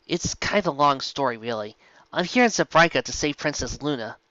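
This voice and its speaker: A man's voice: medium pitch, animated, brisk, sharp tone, dry recording. Young female pony played by a man: blunt, casual, headstrong storybook heroine, slightly muffled recording. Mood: casual, unsure. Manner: informal, blunt, hesitant phrasing.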